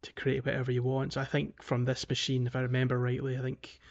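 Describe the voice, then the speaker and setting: Male podcast co-host, average pitch, informal and a little hesitant, casual gaming talk. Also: completely flat delivery, quick pace, creaky, lo-fi sound. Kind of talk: casual video game chat.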